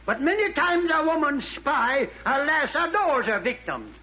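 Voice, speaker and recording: Very high-pitched, prim, snide fiend. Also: male voice, big pitch swings, unhurried, sharp tone, exaggerated voice. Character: high-voiced fussy fiend, scornful of women. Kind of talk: 1940s radio comedy acting. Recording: old 1940s radio broadcast.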